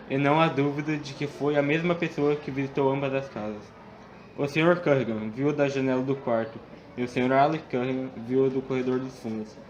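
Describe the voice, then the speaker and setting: A man's voice: mid-range voice, slight background noise, lightly acted, low-quality recording. A Brazilian man voicing a serious, methodical police inspector in a student radio drama, laying out facts steadily. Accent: Brazilian Portuguese.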